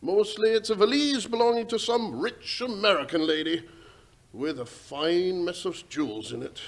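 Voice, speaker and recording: A man's voice: high voice, moderate pace. A man playing a deferential, worried working-class English railway station master in an old-time radio drama, speaking hesitantly and respectfully in a folksy way.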